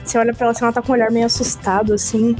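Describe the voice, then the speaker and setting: A woman's voice: fairly high pitch, flat delivery, very fast, a little mellow, faint music behind. A woman playing in a tabletop game, describing her character in loose, casual talk full of fillers. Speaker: player.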